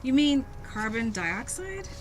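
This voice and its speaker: A woman playing a calm, shrewd small-town doctor in old-time radio style, composed and probing. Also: high-pitched, slow, a little hiss or hum, full character voice. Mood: curious.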